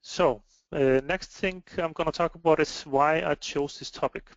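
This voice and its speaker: Male webinar presenter, Icelandic accent, higher-than-average pitch, halting delivery, dry and slightly muffled online sound. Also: no room sound. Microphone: online web meeting microphone.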